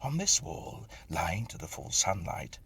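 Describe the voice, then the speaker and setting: A man with a husky, gravelly voice reading a Victorian ghost story in a deliberate, atmospheric, dramatic style. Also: low voice, sing-song, fairly bright tone.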